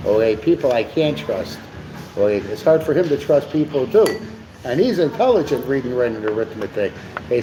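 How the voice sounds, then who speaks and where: A man co-hosting a live stream, chatting in a rambling, preachy way with lots of stammered restarts. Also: mid-range voice. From USA. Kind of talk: rambling casual chat. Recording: noisy live stream with background hum.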